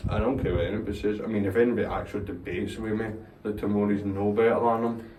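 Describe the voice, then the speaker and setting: A man's voice: on the low side, fairly even intonation, quick pace, a little mellow, far from the mic, a little hiss or hum. A young Scottish man chatting casually about football, opinionated and rambling, swearing now and then. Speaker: co-host. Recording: roomy echo, slightly off-mic, faint ambience.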